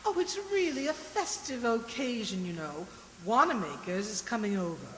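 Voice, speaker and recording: A woman's voice: on the high side, sing-song, normal speed, a bit bright. A woman playing a haughty, snobbish society lady in a radio drama, speaking in an imperious, affected, scornful way. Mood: gracious, affected. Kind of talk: theatrical radio drama acting. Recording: echoey hall, hissy background noise.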